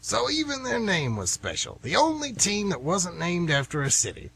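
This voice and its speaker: A man's voice: high-pitched, very animated, moderate pace, gravelly, a bit bright, slightly rough, heavily acted. A man playing a folksy, nostalgic older baseball fan who rambles emphatically in an amateur radio play. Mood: enthusiastic, rambling.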